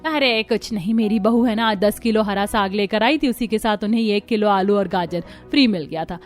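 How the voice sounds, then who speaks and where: A woman's voice: medium pitch, normal speed, soft background music, lightly acted. A woman playing a bossy, stubborn and proud mother-in-law in a cartoon story, with a scolding manner. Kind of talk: Hindi cartoon story acting.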